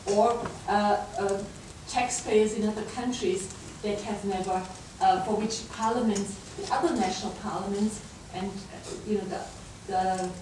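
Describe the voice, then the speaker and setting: A woman with a German accent gives a university talk, pausing on fillers and restarting her phrases as she goes. She is recorded from far off in a large, very reverberant lecture room. A steady hum of background noise sits under her voice. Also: medium pitch. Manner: hesitant, many fillers and restarts. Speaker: guest lecturer. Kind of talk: academic talk to students.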